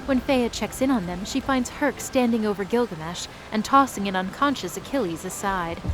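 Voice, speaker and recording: A woman's voice: medium pitch, animated, moderate pace, poor audio. A woman narrating an audio drama in a scripted style with wry, casual asides. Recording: music underneath.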